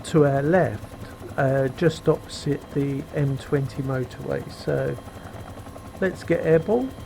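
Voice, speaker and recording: Guy with a super deep gravelly voice on a cheap headset, helicopter engine roaring behind. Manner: unhurried, explains as he flies, frequent fillers. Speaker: flight sim video maker. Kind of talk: casual flight sim commentary. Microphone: cheap gaming headset microphone. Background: helicopter sim engine and rotor noise.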